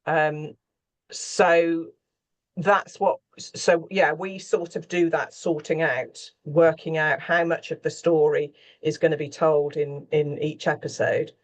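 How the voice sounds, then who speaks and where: A woman's voice: low-pitched, fairly even intonation, takes it slowly. Chatty woman explaining her work. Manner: discursive, chatty, self-interrupting. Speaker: scriptwriter, interview guest. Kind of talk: informal explanation in conversation.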